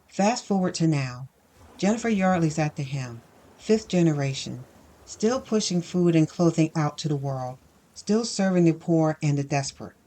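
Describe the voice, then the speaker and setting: A woman's voice: deep voice, mellow, rough recording. A woman playing an indignant, sardonic employee in a first-person audio fiction monologue, emphatic and wry. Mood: matter-of-fact, wry. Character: indignant, sardonic woman recounting workplace bullying.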